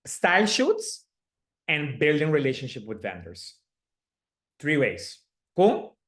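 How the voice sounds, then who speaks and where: A man's voice: on the high side, huge pitch swings, takes it slowly, fairly bright tone. Man, slight Aruban accent, upbeat, chatty, live online coaching, video call. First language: Papiamento. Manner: upbeat, chatty, laughs often.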